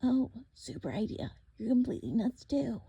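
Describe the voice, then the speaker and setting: A woman voicing a defiant, sharp-tongued teenage girl who talks tensely and sarcastically in an audiobook. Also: a little high, big pitch swings, moderate pace, vocal fry, slightly rough, no echo, highs cut off, voice pushed up, heavily acted. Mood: sarcastic.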